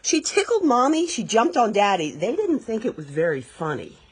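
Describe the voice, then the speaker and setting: A woman reading a children's picture book aloud expressively, pausing to explain things to young listeners. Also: a little high, huge pitch swings, husky. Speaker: read-aloud storyteller.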